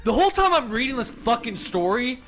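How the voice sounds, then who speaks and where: A man co-hosting a radio comedy show, telling stories in casual banter and swearing freely as he gets animated. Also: extremely high pitch, brisk, faint music behind. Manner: profane, animated storytelling. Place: radio studio.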